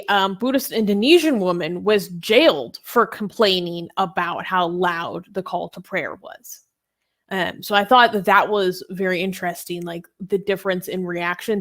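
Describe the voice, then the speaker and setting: A woman's voice: mid-range voice, a bit bright. Casual woman panelist with a mid-range voice. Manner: informal, peppered with "like". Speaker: panelist on a discussion show.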